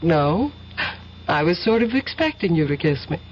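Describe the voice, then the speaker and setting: A woman's voice: fairly low pitch, sing-song, normal speed. An actress playing an anxious young American woman in love in a 1970s radio drama, speaking in a breathless, fretful way. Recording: dull old radio broadcast with faint hiss.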